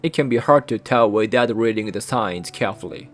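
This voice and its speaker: A man with a Japanese accent, a native Japanese speaker, hosting a channel and narrating a scripted guide in even, careful short phrases. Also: fairly low pitch, a little fast, faint music behind. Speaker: channel host.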